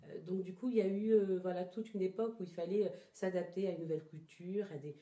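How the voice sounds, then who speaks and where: A woman speaks in a very soft-toned, dark and relaxed voice that sits slightly low. She answers an interviewer reflectively, often pausing and repeating words as she looks for the right phrase. The recording is roomy, with audible echo. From born in Togo, lived in Ghana, moved to France as a child. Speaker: interview guest, traditional therapy practitioner. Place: indoors in a house. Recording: roomy, echoing indoor sound.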